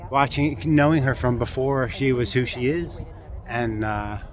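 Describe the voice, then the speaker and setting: A man's voice: mid-range voice, unhurried. A middle-aged American man talking casually as a farm guide in an outdoor phone vlog. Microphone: phone camera. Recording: outdoor phone video, chatter behind.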